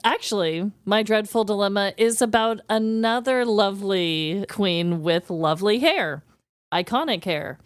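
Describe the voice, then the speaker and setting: A woman's voice: medium pitch, a little slow, smooth, no echo, clean studio sound. An American woman from the Midwest telling personal stories casually as a podcast host, chatty and effusive. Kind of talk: casual chat, personal storytelling.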